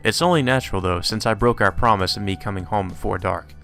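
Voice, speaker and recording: A man with a fairly low but bright, forceful voice reads a visual novel aloud as a let's play host. His delivery is informal, with asides of his own. Light game music plays behind him. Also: fast. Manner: informal, slips into character voices. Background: video game music.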